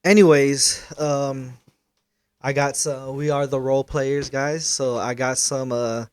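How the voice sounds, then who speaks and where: A young man hosting a podcast in casual chat, animated and hesitant, often getting louder when excited. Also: medium pitch, takes it slowly, clear, resonant tone. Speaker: podcast host.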